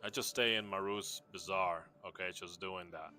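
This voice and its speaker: A man's voice: low-pitched, normal speed, pushed and bright, soft background music. A man from Puerto Rico casually showing off his game character builds, rambling with lots of fillers and restarts. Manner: rambling, laid-back, many fillers and restarts. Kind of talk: casual game showcase chat.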